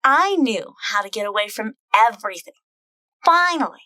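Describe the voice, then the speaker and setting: A woman's voice: on the high side, lively intonation, normal speed, bright, forceful tone, no echo. A woman playing a wry, candid young comic-book heroine, narrating in a confiding, self-deprecating way. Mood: wondering. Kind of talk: dramatic reading of comic excerpts.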